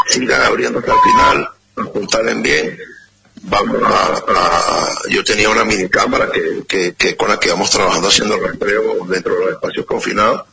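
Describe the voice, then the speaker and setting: A middle-aged man speaks haltingly, pausing on fillers and repeating himself. His voice is heavily gravelly with a tense, bright edge, and a faint hiss sits behind it. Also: lowish voice, rough recording. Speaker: rescue volunteer, interviewee. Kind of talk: phone-in interview testimony.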